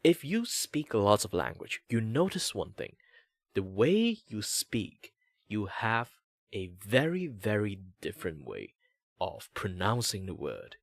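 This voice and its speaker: A young man with a Malaysian English accent chats to his stream as he draws. His voice sits fairly low, and he rambles cheerfully with plenty of fillers and restarts. The sound is close and dry, with no room around it. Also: huge pitch swings, takes it slowly, creaky, no echo. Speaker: streamer host. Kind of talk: casual chat while drawing.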